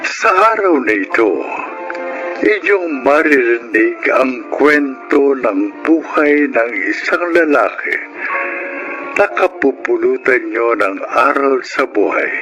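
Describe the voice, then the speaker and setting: Slow Filipino male announcer over loud music. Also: medium pitch, expressive, low-quality recording. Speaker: announcer and narrator. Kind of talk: solemn scripted radio drama narration.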